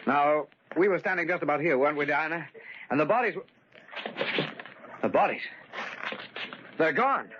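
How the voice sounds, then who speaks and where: An actor playing a proper, earnest husband and amateur sleuth in an old-time radio drama, with a formal manner. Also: male voice, a little high, expressive, normal speed, pauses often, fairly bright tone. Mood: earnest, determined. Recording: muffled, hissy, crackling old radio recording.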